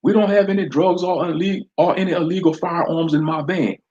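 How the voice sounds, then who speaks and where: A man's voice: fairly high pitch, fairly even intonation, fairly quick, darkish tone, muffled recording, voice pushed up, close to an everyday voice. A man voicing a polite van driver pleading with a sheriff.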